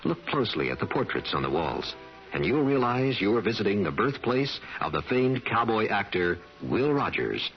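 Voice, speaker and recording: A man's voice: on the low side, sing-song, normal speed, breathy edge. An American man narrating a scripted radio tourism commercial in a folksy storytelling manner. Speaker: commercial announcer. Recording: muffled old radio broadcast, music underneath. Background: music.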